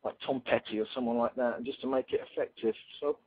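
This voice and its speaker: A man with a raspy, croaky voice answers interview questions in a loose, rambling way, full of fillers. He sounds husky and close to the mic. The sound is dry and tinny, like a phone line. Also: mid-range voice, quick pace, no echo. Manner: relaxed, rambling, many fillers. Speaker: singer-songwriter guest.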